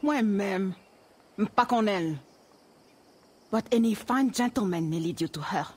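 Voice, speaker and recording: A woman's voice: medium pitch, lively intonation, unhurried, a little mellow, husky. An actress playing a wary, grateful runaway woman in a video game. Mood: wary, measured. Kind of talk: dramatic video game acting.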